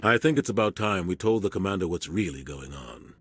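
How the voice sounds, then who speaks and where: A man's voice: low-pitched, animated, fairly quick, slightly rough. An actor playing a seasoned male ship captain in a video game cutscene, speaking in a grave, direct way.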